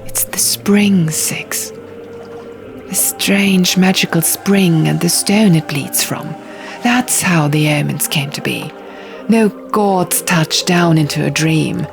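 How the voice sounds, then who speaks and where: Woman voicing an earnest, slow-spoken young male storyteller. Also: sits low, vocal fry, exaggerated voice. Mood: solemn storytelling. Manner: measured, theatrical with pauses for effect. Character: earnest young male storyteller recounting dark ancient lore. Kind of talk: audiobook character dialogue. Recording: music bed under the reading.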